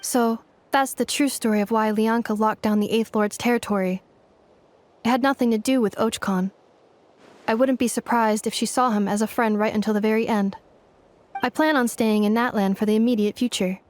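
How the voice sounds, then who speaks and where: A woman voicing a calm, literal-minded young female game character with a measured, formal, matter-of-fact delivery. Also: on the high side, close to an everyday voice. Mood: calm, reflective. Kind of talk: video game voice acting.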